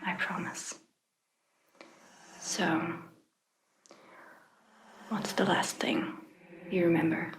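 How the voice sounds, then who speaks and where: A woman speaking in a breathy, gentle ASMR roleplay style as a caring, reassuring vampire doctor, with hesitant pauses. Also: on the low side, little pitch movement, low-quality recording. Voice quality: breathy, whispery. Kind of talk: soft-spoken ASMR roleplay.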